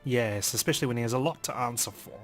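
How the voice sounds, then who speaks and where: Brisk male narrator reading dramatically. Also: mid-range voice, faint music behind. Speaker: let's play narrator. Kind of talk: dramatic first-person visual novel reading.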